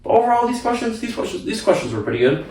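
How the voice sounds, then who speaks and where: A man's voice: sits high, very animated, racing, darkish tone, faint music behind. A young man answering viewer questions in a casual vlog, rambling and repeating himself with casual swearing. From United States. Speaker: fitness vlogger. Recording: echoey room, mic at a distance.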